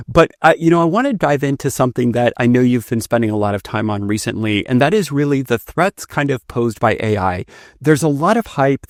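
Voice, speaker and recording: A man's voice: medium pitch, no room sound, clean studio sound. A man who is a native Finnish speaker, hosting a conversational podcast interview. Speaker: podcast host interviewing a guest.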